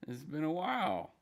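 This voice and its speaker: A man hosting a casual morning livestream, greeting viewers by name in a drowsy, rambling way with a low, gravelly morning voice. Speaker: livestream host.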